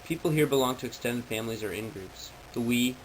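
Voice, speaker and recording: Male presenter, average pitch, formal scripted explainer read, amateur audio with noticeable background noise. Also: fairly quick. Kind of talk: prepared informational presentation, read out.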